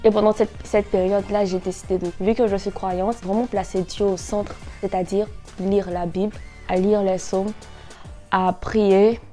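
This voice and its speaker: A young woman of about nineteen talks to her viewers in a casual vlog style. Her voice sits at a middle pitch, and her delivery is animated and slangy, sprinkled with English words. Faint music plays behind her. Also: normal speed. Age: 19, nearly twenty. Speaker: vlogger. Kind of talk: casual chat to camera.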